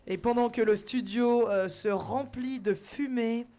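A woman's voice: on the high side, measured pace, noisy background. Playful woman hosting on radio. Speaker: radio host, interviewer. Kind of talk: playful live radio interview.

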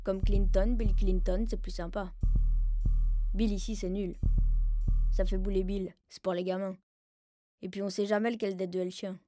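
A woman plays a wry young boy in a radio fiction, talking casually and deadpan about his own life. The voice sits at a middle pitch, with music clearly behind it. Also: moderate pace, pauses often, close to an everyday voice. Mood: matter-of-fact. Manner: casual, deadpan, self-mocking. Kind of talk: first-person radio fiction monologue, colloquial.

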